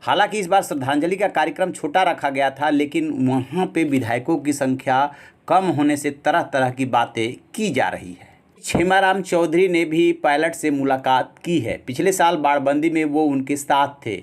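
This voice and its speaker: Slightly high male news report narrator. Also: normal speed.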